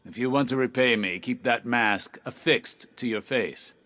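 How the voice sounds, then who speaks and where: A man's voice: mid-range voice, a little slow, bright, forceful tone. A man playing a wary, blunt veteran adviser in a video game, with a pointed, terse and cautioning delivery. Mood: cool, controlling. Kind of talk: dramatic game dialogue.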